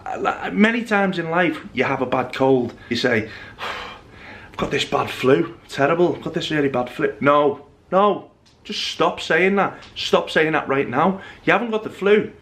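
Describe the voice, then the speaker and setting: A man's voice: a little high, expressive, unhurried, fairly bright tone. A young man talks to his camera in a loose, rambling vlog. He pokes fun at himself as he goes. His voice carries a trace of a recent bout of flu. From England. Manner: chatty, self-mocking, rambling. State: recovering from flu. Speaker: vlogger. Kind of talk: casual vlog chat to camera.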